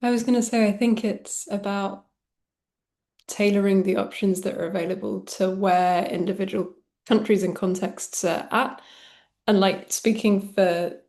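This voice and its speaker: A woman presenter answering an audience question on a video call, talking quickly with "like" and "um" fillers. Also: medium pitch, fairly even intonation, a little mellow. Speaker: panel presenter.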